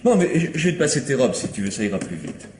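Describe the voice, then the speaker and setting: An actor playing a smooth, manipulative lover in a radio mystery, coaxing and insistent, slipping into commands. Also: male voice, medium pitch, very animated, quick pace, noisy background, lightly acted. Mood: eager. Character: smooth, manipulative male lover greedy for valuables. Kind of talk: theatrical French radio mystery acting.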